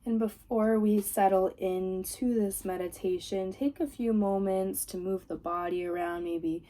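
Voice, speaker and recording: A woman with a soft-edged, relaxed tone guides a body scan meditation. Her delivery is very slow and soothing, with gentle instructions at a medium pitch. She sounds like a yoga teacher leading a practice. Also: low-quality recording.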